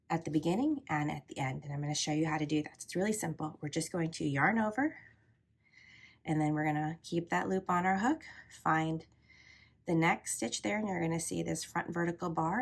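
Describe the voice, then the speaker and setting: A woman with a head cold, sounding congested, calmly talking through a crochet tutorial step by step. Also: lowish voice, creaky, husky. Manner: calm, steady instructional pacing with soft asides. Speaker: crochet teacher.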